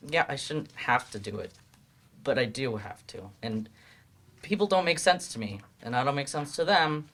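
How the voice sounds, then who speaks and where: Guarded male adult student, acted by a man: earnest, halting, self-doubting, lively swings in pitch, stage-play delivery. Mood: resigned, frustrated.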